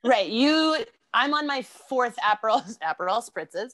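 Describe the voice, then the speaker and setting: A woman's voice: fairly high pitch, measured pace, no echo, poor audio. A woman with an American accent, a podcast guest chatting casually and laughing often as she tells stories. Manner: laughs often, animated storytelling. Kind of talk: casual chat.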